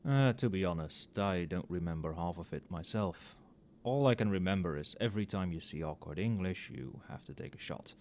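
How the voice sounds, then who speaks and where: Guy playing a tired wry magic professor, soft low-ish muttering, asmr roleplay, bit of noise behind him. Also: animated, normal speed. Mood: friendly, confiding. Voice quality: soft-spoken. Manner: casual, muttering, dryly sardonic. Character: wry, overworked male magic professor grading papers late at night.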